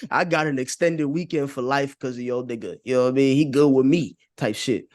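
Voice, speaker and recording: Casual American male podcast voice, mid-pitched, loose and rambling, swears freely and circles back on phrases. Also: a little fast, a little mellow. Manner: rambling, repeats phrases, frequent "you know what I'm saying", profane. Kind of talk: casual conversational podcast opinion.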